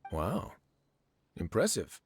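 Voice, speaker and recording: A man's voice: lowish voice, huge pitch swings, takes it slowly, husky, soft background music, heavily acted. A man playing a wry, self-assured prison fortress administrator in a video game, dryly teasing and nonchalant. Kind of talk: video game story voice acting.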